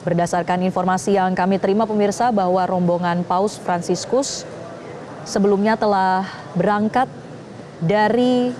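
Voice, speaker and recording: A woman with an Indonesian accent anchoring live breaking news in a formal, measured way. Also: mid-range voice, mellow, slight background noise.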